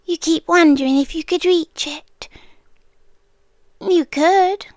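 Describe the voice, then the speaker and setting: A woman's voice: very high-pitched, slow, dry recording. A British woman voicing a possessive, tender but menacing winged monster girl in an ASMR roleplay, cooing breathily in half-whispers close to the microphone. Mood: delighted, coaxing.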